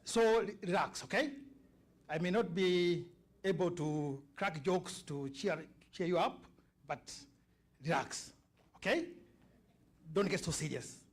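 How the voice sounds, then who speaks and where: A middle-aged or older man from Kenya with a Kenyan accent, giving an informal, halting public address as a community speaker and checking in with his listeners. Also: high-pitched.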